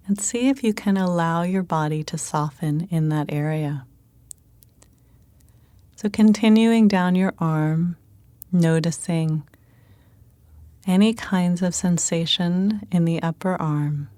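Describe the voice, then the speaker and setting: A woman leading a guided meditation in a calm, gentle manner, giving unhurried instructions with pauses. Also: fairly low pitch, no echo.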